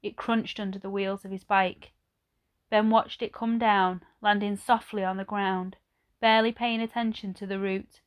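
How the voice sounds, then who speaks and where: A woman reading a children's story aloud like a teacher, with measured, expressive phrasing. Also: mid-range voice, clean tone.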